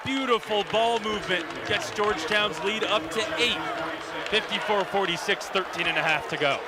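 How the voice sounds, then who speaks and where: A male radio sportscaster gives live basketball play-by-play. His voice sits high and carries plenty of energy. Very loud arena ambience runs behind him. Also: normal speed, over a noisy crowd, heavy background noise. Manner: energetic, rising excitement on big plays. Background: basketball arena with bouncing ball.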